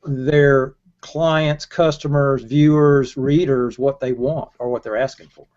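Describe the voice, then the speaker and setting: A man's voice: mid-range voice, fairly even intonation, takes it slowly, darkish tone. An older man in a casual panel discussion on a video call, making his points emphatically and often repeating his words. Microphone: earbuds headset on a video call. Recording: dull lo-fi video call audio.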